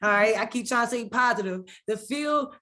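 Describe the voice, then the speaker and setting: Host: woman, slightly high voice, energetic casual delivery, repeats phrases, sometimes stumbles. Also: normal speed. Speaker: podcast host. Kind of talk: casual podcast hosting with prayer.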